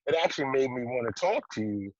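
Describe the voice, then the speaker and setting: A man hosting a casual interview over a video call, relaxed and hesitant, with many pauses and restarts. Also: medium pitch. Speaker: interview host, film festival producer. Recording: dull, dry video-call audio.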